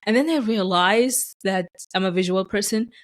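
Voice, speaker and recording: A woman hosting a podcast, telling stories in casual conversation with lively restarts. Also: mid-range voice, normal speed. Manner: animated storyteller, acts out quoted voices; frequent restarts and fillers. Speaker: podcast host.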